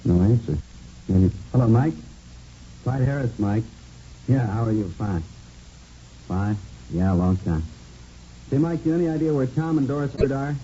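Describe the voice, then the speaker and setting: Actor as dark-voiced gym proprietor, muffled old radio. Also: male voice, medium pitch, lively intonation, moderate pace, long pauses, close to an everyday voice. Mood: obliging, casual. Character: male gym proprietor leading ladies' exercise classes, obliging, chatty. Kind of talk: vintage radio police drama acting. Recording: muffled, noisy vintage radio recording.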